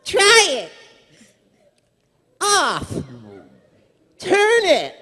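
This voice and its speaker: A man voicing a cartoon space-pilot rogue with halting comic timing in a live script reading. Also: extremely high pitch, wildly swooping pitch, pushed and bright, big-room sound, heavily acted.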